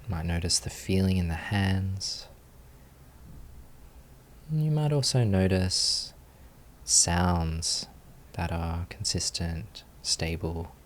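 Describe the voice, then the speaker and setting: Deep voiced guy doing guided meditation, really slow n calm, lots of pauses. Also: slight background noise. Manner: calm, soothing, with long pauses. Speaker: meditation teacher.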